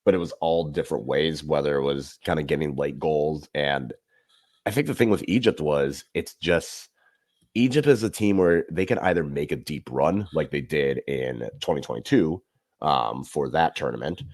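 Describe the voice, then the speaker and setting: A man's voice: very deep voice, darkish tone, no echo, studio quality. A man co-hosting a podcast, chatting loosely about football with many fillers and restarts. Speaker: podcast co-host. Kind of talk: casual sports chat.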